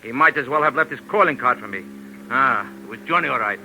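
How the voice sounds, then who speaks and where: An actor playing a heavyset, hot-tempered waterfront boss, blunt and bullying, in a 1940s radio drama. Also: male voice, mid-range voice, lively intonation, fast, sharp tone, slight background noise, low-quality recording. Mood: suspicious, aggrieved. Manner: blunt, gruff, bullying, broken tough-guy grammar.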